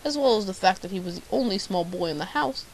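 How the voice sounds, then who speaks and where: A woman's voice: mid-range voice, animated, fast, a little hiss or hum, lightly acted, rough recording. A woman playing a bumbling, self-important private detective in an amateur radio drama, with a pompous, theatrical delivery. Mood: self-important narrating.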